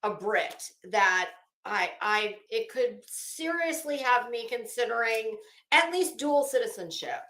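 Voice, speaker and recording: A woman's voice: fairly high pitch, lively intonation, unhurried, fairly bright tone. A woman chatting casually as a livestream host, rambling and theatrical, often breaking off mid-thought and starting again. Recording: echoey room sound.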